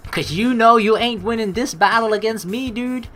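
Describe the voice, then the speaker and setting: A woman's voice: fairly high pitch, moderate pace, a bit bright, soft background music. A young woman giving casual, slangy game commentary, reading aloud and reacting as she goes. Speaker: let's play host.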